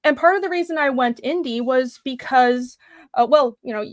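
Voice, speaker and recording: A woman's voice: sits high, normal speed, no echo, clean studio sound. A young woman from Taiwan talking about her comics in a casual interview, earnest and thoughtful with many fillers. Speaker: comic creator guest.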